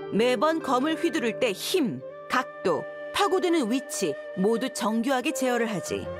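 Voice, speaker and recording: An actress voicing a confident, seasoned young mercenary swordswoman in a video game, frank and self-assured. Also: high voice.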